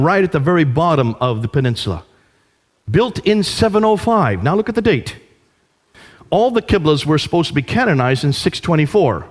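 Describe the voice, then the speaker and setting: Animated male lecturer in a reverberant hall. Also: a little high. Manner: emphatic and declarative, repeating key points. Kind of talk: illustrated public lecture.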